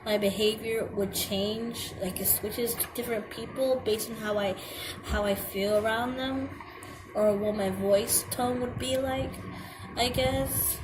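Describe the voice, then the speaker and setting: Slow-talking young woman with a girlish voice. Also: fairly high pitch, steady tone. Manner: hesitant, stumbles over words, many fillers. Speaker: vlogger. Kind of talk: reading a checklist aloud and commenting. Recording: home video with clear background noise.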